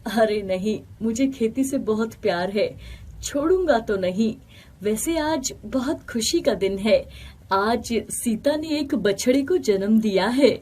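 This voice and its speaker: A woman voicing a kind, hopeful male farmer in an animated moral story, earnest and reassuring. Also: fairly high pitch, takes it slowly. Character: hopeful, protective, kind village farmer man.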